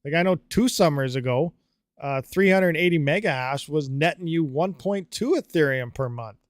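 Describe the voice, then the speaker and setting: A man's voice: fairly high pitch, animated, normal speed, no echo, studio quality. A man hosting a live stream, chatting casually and rambling with lots of fillers while answering viewer comments. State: slightly dry throat. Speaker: livestream host.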